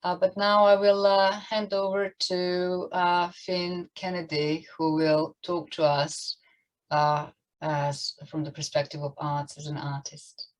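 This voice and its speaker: Slow, lowish-voiced woman hosting. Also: little pitch movement, rough recording. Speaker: event host. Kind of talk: moderating an online event.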